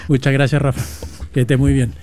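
A man hosting a radio interview, chiming in with quick teasing remarks. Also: mid-range voice, little pitch movement, a little mellow. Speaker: radio host.

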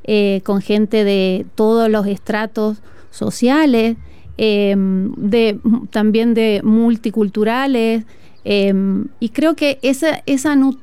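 An Argentine woman, a music teacher, speaks in an earnest, reflective way and pauses often on "eh." Her voice is mellow and smooth. The sound is dry and close. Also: medium pitch, fairly even intonation, no room sound. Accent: Argentine Spanish. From Tucumán, northern Argentina. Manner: earnest and reflective, with frequent "eh" fillers. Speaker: music teacher and flutist, guest. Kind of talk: conversational interview. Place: outdoor school courtyard.

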